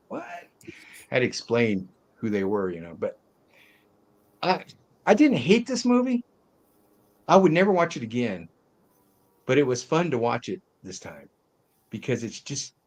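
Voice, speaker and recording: Older man hosting a casual film chat. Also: mid-range voice. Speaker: host of a film review panel. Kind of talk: casual movie discussion.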